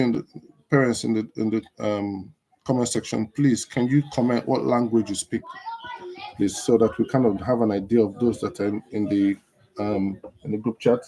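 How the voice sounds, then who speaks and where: A Nigerian man whose first language is Igbo, hosting a casual live stream and chatting with viewers, unhurried with many fillers. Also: on the low side, fairly even intonation, dark tone, low-quality recording.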